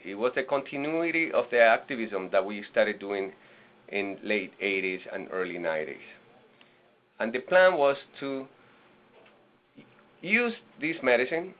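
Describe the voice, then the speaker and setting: A man from Venezuela, a nonprofit director, presenting a webinar with halting restarts and fillers. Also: medium pitch. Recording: lo-fi webinar audio.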